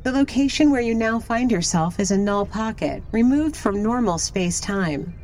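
A woman's voice: mid-range voice, lively intonation, normal speed, a little mellow, a little hiss or hum, natural voice. A woman playing a polite, analytical artificial intelligence in an audio drama, speaking formally and precisely. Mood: polite, explanatory.

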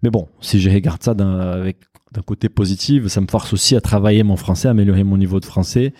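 Non-native man, Portuguese first language; low, dark, casual and frank. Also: steady tone, fast talker, no echo, studio quality. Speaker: podcaster. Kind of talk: casual interview answer.

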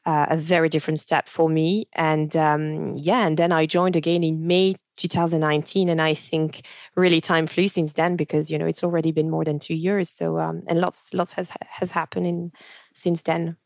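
Woman, low dry voice. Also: flat delivery, normal speed, gravelly, no echo. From Switzerland. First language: French. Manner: thoughtful, many fillers and restarts. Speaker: guest, managing director. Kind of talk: casual interview conversation.

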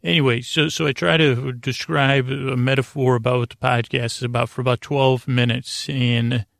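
A man hosting a sleep podcast in a low-key, meandering monologue, halting often and drifting off into digressions. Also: flat delivery, creaky, a bit bright, no echo, studio quality. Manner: meandering, halting, frequently digressing and losing his thread; low-key, soothing, deliberately unengaging. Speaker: podcast host.

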